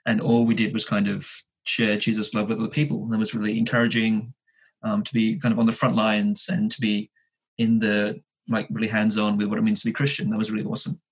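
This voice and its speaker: A man in his twenties with a husky voice, chatting casually as a guest, hesitant and dryly joking. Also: fairly low pitch, monotone, quick pace, poor audio. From Sydney, Australia. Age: 20s. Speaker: podcast guest, engineering student. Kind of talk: casual chat, telling his own story.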